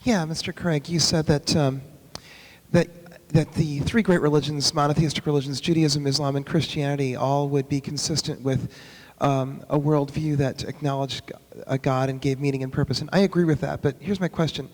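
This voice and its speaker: A man asking a spontaneous question from the audience, halting and rephrasing as he searches for his words. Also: fairly high pitch, fairly even intonation, darkish tone. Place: large auditorium. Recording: reverberant hall.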